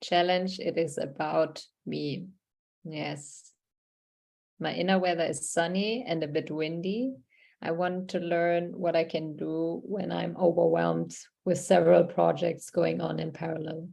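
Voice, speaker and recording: A woman speaks over a video call, running an online workshop. Her voice sits on the lower side, and her delivery is conversational as she reads participants' answers aloud and responds to them. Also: level tone, measured pace, gravelly, dark tone. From Germany. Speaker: workshop facilitator.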